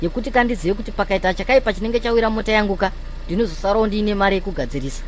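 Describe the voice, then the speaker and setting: A male actor plays a fussy cartoon car owner with a very high voice for a man. He talks fast, nagging and pleading in a demanding way. Faint vehicle noise sits behind him. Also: natural voice. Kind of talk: comedy cartoon dialogue in Shona mixed with English.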